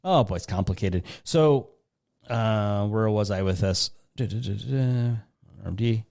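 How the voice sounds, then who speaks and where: A man with a Midwestern American accent and a fairly low voice hosts a casual talk show. He wanders through listener questions, breaking off his own sentences and dropping in joking asides. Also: a little slow, gravelly, a little mellow. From Lansing, Michigan. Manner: rambling, jokey asides, interrupts himself. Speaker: podcast host.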